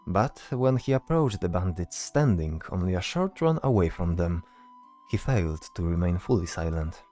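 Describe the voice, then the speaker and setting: Low, mellow male fantasy narrator. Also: lively intonation, moderate pace, faint music behind. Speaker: narrator, storyteller. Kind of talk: scripted fantasy story narration.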